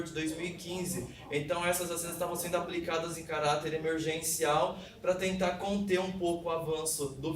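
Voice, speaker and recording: A man with a Brazilian accent giving an academic presentation, explaining in a halting way and correcting himself as he goes. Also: fairly high pitch. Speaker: presenter of a research paper. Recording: echoey room, distant mic, faint noise.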